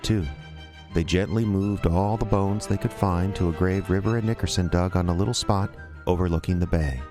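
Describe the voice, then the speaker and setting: A man narrating an audio drama in a storytelling style, as its narrator and announcer. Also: low voice.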